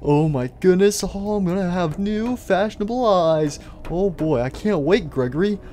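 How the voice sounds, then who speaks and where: Man voicing an excitable, fatherly robot companion. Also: high-pitched, normal speed. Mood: excited. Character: friendly animatronic robot companion, fatherly, eager, easily scared.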